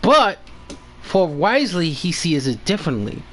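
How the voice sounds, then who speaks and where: A man chatting and commentating casually as the host of a live stream, halting and often repeating himself. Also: sits high, sing-song, fairly bright tone, soft background music.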